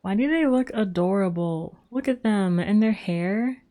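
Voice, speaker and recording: A woman's voice: medium pitch, animated, measured pace, mellow. A young adult woman livestreaming a game, chatting casually and reacting as she plays.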